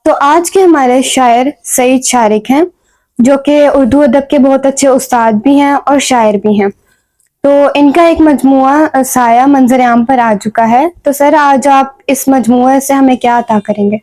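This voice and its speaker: A woman's voice: high voice, darkish tone. A young woman hosting a talk show in a polite, formal presenting style. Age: young adult.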